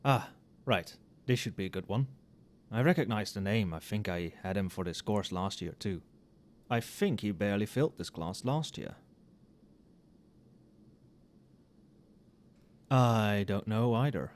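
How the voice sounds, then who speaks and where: Male, acting as a friendly, conscientious professor a few drinks in; lowish soft voice, muttering, very varied intonation, for late-night ASMR roleplay. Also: lively intonation, moderate pace, close to an everyday voice. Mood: relaxed, chatty. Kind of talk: casual ASMR roleplay, addressing an unheard colleague.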